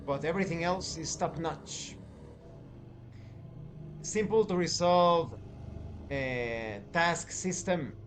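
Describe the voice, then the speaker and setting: A man giving review commentary to viewers as a channel host, speaking deliberately and emphatically in short, separated phrases. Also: on the high side, slight background noise.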